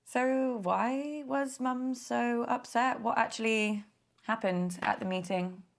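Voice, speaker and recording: An actress playing an awkward young family member, hesitant and self-doubting as she quietly probes for answers. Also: fairly high pitch, slow, gravelly. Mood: awkward, probing. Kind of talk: naturalistic screen drama dialogue.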